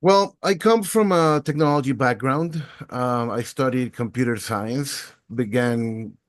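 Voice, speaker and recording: A man answers interview questions as a podcast guest. He talks thoughtfully and fluently at a medium pitch, and the room sound is dry. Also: unhurried, no echo. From Venezuela. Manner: thoughtful, fluent storytelling with casual fillers. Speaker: podcast guest, education technologist.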